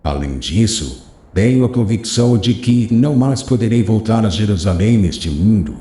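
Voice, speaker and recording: Man doing old rabbi, solemn and fatherly, low dark voice, roomy echo, audiobook. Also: animated, close to an everyday voice. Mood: solemn counsel. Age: older. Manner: solemn, paternal, measured. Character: old wise male rabbi, gentle teacher. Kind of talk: audiobook character reading.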